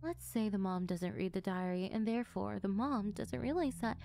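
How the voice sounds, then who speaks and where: A woman's voice: mid-range voice, lively intonation, normal speed, vocal fry, mellow, slight background noise. Woman acting a coaxing, sweetly unhinged doting mother. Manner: coaxing, wounded, self-justifying. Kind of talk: anime-style audio roleplay.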